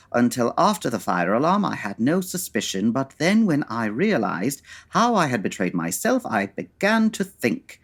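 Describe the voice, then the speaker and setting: Guy doing a brilliant old radio detective, formal, theatrical, really lively melody in the voice. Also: medium pitch. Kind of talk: old-time radio drama acting.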